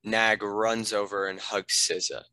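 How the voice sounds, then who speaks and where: Male narrator, fairly low, crisp forceful tone, scripted action narration, dry studio-like sound. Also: level tone, unhurried, no room sound. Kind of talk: scripted narration of stage directions.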